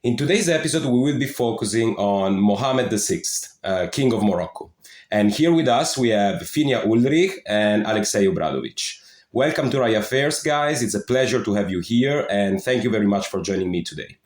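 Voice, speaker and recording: A man's voice: on the low side, lively intonation, moderate pace, a little mellow, roomy sound. A man hosting a podcast, reading a formal welcome and then politely asking his guests questions. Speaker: podcast host. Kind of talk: scripted podcast introduction and interview.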